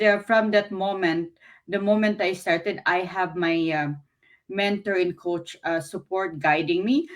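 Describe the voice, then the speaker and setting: A woman from the Philippines, speaking English as a later language, sharing her business experience in a casual, earnest way with many fillers and pauses. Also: mid-range voice. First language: Filipino language. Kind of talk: casual testimonial talk. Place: Canada.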